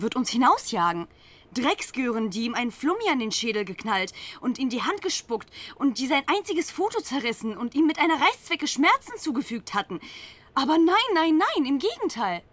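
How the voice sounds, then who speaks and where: An actress playing a woman who recalls her cruel youth gang in radio drama narration, in terse fragments. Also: on the high side. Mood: agitated.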